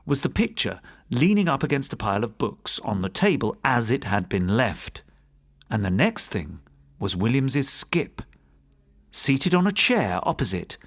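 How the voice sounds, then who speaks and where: Expressive male audiobook narrator, lively pitch. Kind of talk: scripted narration of a gothic ghost story.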